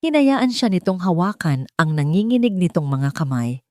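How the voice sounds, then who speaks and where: A woman's voice: fairly low pitch, sing-song, moderate pace, darkish tone, no echo, studio quality. A woman with a Filipino accent reading a dramatic romance story as a scripted narration.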